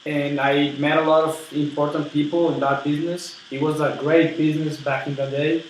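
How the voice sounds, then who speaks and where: A man playing an eager, polite steel tycoon in a role-played interview, earnest and halting as he repeats words. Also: a little high, flat delivery, distant mic, natural voice. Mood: proud. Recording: very echoey room with background noise.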